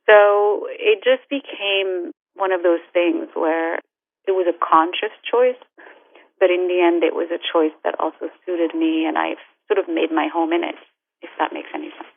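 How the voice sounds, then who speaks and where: A woman's voice: lowish voice, fairly even intonation, gravelly, dry recording. Thoughtful woman over a tinny line. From Morocco. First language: Arabic. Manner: measured, thoughtful, frequent "you know". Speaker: author guest. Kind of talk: reflective interview answers. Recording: dry, tinny phone-like line.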